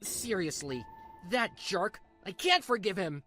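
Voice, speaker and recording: Annoyed 17yo boy, blunt, pitch all over, music behind, woman voicing him. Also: lively intonation, measured pace, a bit bright. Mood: indignant. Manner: blunt, exasperated. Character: indignant seventeen-year-old boy.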